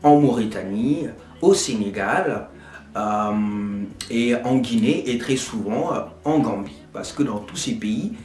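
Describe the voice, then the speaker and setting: Slow lively guy teaching a lesson, spells stuff out, echoey room with faint music. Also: medium pitch. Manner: spells words out letter by letter, slow and patient. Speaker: language teacher. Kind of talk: Wolof lesson in French.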